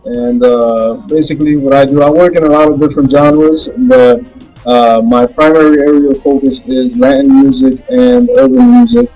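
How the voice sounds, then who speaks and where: A young adult man from the Dominican Republic, a music producer answering interview questions in a halting way with many fillers. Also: a little high, animated, creaky, mellow, highs cut off.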